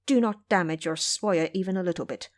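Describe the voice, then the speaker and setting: Woman doing a careful stern monk master, quiet and lowered voice, formal, scolding, audio drama. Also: fast talker, close to an everyday voice. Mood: anxious, stern. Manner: admonishing, formal, hushed. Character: cautious, stern male Buddhist monk master.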